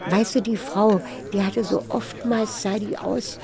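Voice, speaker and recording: An actress playing a chatty, mildly fretful older housewife in naturalistic radio drama, speaking haltingly and seeking agreement with short tag questions. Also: female voice, medium pitch, fairly even intonation, vocal fry, a crowd in the background. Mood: uneasy, reminiscing. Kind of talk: naturalistic, improvised-sounding radio drama dialogue.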